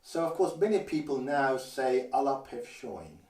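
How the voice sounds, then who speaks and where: An older man from Guernsey teaches greetings patiently, pausing to say each phrase slowly and clearly. His voice is mid-pitched and sits back from the mic. A roomy echo surrounds him. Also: sing-song, a little mellow, far from the mic. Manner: measured, careful, slows down to model phrases. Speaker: language teacher. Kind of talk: instructional explanation.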